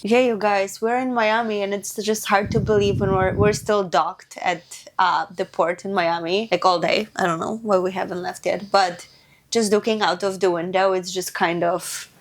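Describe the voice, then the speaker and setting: A young woman vlogger in casual chat, chatty and often laughing, with drawn-out filler words. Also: mid-range voice, fairly even intonation. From Poland.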